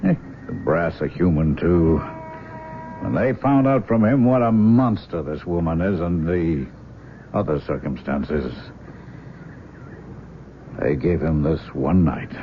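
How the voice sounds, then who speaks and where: An actor playing a grave, confiding official who explains things matter-of-factly but with sympathy in a 1970s radio drama. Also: male voice, low-pitched, expressive, takes it slowly, pauses often. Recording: tinny, faintly noisy old radio recording.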